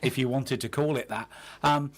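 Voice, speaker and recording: A man giving conversational radio interview answers in halting phrases, often correcting himself. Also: mid-range voice, fairly even intonation.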